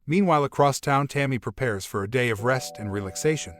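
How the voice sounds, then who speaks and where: A man's voice: medium pitch, brisk, heavy background noise. A man reading scripted narration for an audio story as its storyteller.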